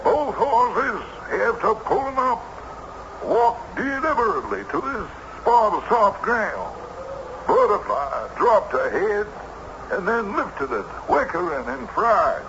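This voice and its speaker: A man's voice: high voice, sing-song, slow, vocal fry, a bit bright, highs cut off, exaggerated voice. A man playing an indignant, proud racehorse owner with an emphatic, repetitive, old-fashioned manner in a radio drama. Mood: eager, uneasy. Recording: noisy, band-limited old radio broadcast.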